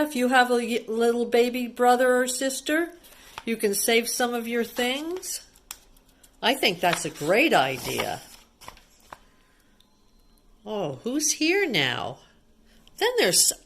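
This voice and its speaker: Lady teacher reading a kids book out loud, takes it slow, repeats stuff, asks the kids little questions. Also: medium pitch. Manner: simple child-directed talk with repetition and questions. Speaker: teacher reading a picture book to young children. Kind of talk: read-aloud storytime with simple asides to children.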